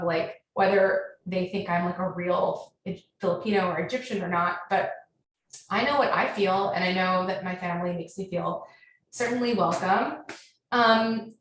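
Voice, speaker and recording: A woman with an American accent answering questions as a guest author in a casual, animated, chatty way that leans on "like". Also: mid-range voice. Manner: animated storytelling with frequent "like". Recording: very echoey, distant, faint noise.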